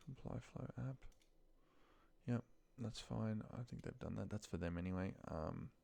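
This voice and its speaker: A man with a deep voice and a gravelly fry walks someone through office work on his screen. He thinks aloud casually and haltingly, half muttering to himself as he goes. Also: warm tone. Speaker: trainer demonstrating office data work. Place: voice-over of a screen recording.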